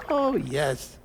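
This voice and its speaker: A man playing an eccentric scientist delivering a recorded message as a theatrical monologue in a game cutscene, deliberate with sudden flourishes. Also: extremely high pitch, creaky, exaggerated voice. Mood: playful, whimsical.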